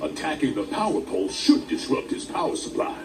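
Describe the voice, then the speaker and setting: A man's voice: a little high, wildly swooping pitch, brisk, distant mic. A man voicing an old male dragon elder in a video game, giving advice in a theatrical way. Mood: advising. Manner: theatrical, advising. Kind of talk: video game cutscene acting. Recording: music behind, from off mic.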